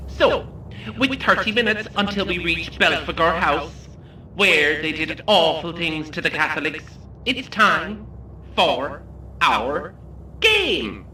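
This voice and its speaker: A theatrical tour guide relishes every macabre detail in a horror comedy drama. The voice is bright and very animated, taunting and sardonic, with menacing pauses. It sounds roomy and a little distant. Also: male voice, on the high side, a little hiss or hum, heavily acted. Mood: gleeful explaining. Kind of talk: scripted audio horror comedy acting.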